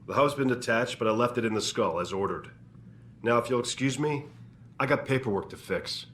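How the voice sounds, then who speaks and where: A man's voice: mid-range voice, animated, fairly quick, fairly bright tone, slight background noise, close to an everyday voice. A man voicing a weary male coroner in a game, talking in a dry, offhand way.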